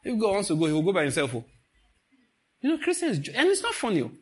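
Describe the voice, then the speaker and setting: A man's voice: sits high, fast talker, husky. A man with a Nigerian accent preaching informally, telling stories, acting out what people say and slipping into Pidgin. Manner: animated storytelling, acts out quoted speech, slips into Pidgin. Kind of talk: informal sermon with stories and jokes.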